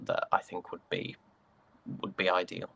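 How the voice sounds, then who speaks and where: A British man speaks as a guest in a casual interview, in a fairly low voice. He is hesitant and jokey, with a self-deprecating manner. The sound is dry, with hardly any room in it. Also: completely flat delivery, measured pace, gravelly, pushed and bright, husky, no echo, poor audio. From United Kingdom.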